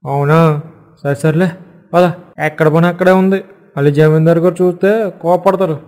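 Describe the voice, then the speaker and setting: A curious yet careful male servant from a cartoon village tale, acted by a man. His voice is a bit high and rings with hall-like reverb. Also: steady tone, normal speed, darkish tone, lightly acted. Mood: curious, cautious. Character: curious but cautious male household servant. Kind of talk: cartoon story dialogue.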